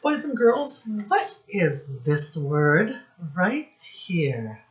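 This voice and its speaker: A woman teacher reading a picture book aloud to young children in deliberate, separated phrases, asking them questions as she goes. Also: fairly low pitch, sing-song. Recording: dull, muffled lo-fi recording.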